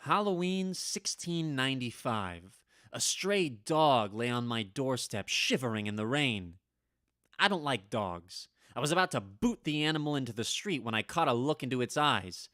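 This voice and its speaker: Guy doing old time radio drama narrator, centuries-old man, wry n very expressive, bright voice, dry sound. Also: mid-range voice, moderate pace, dry recording, close to an everyday voice. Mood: wry, reflective. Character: centuries-old Salem man, wry storyteller, easily flustered.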